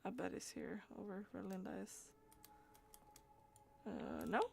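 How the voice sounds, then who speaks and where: A woman's voice: medium pitch, unhurried, vocal fry, slight background noise. A woman streaming a game, murmuring her thoughts in loose asides as she plays. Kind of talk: live gameplay, reading dialogue aloud.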